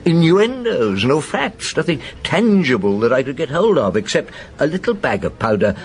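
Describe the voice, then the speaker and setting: An actor playing a weary, doubting middle-aged chief inspector in a radio drama, probing, hesitant and often muttering to himself. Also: male voice, a little high, big pitch swings, normal speed. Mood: uneasy, reflective. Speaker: detective and narrator. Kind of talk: radio drama dialogue and narration.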